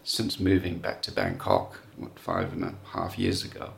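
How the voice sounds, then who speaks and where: A man's voice: low voice, big pitch swings, normal speed, gravelly, a little hiss or hum. A middle-aged man from South Africa, chatting as a guest in a rambling, self-deprecating way with many fillers. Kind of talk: casual chat.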